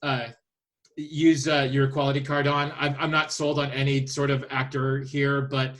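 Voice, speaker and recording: A man co-hosting a podcast, talking casually and haltingly as he thinks his way through his picks aloud. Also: mid-range voice, flat delivery, a bit bright. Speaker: podcast host. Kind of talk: casual chat, presenting a list of casting picks.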